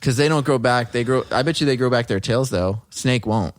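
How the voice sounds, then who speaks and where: Casual male podcast co-host, mid-range voice, joking and quick to giggle, dry sound. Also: medium pitch, no room sound. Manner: giggly, often laughing through words.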